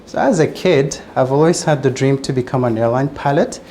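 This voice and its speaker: A man's voice: medium pitch, brisk, dark tone, slight background noise. A man from Madagascar, a flight instructor, speaking earnestly with frequent hesitant fillers. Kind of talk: testimonial interview.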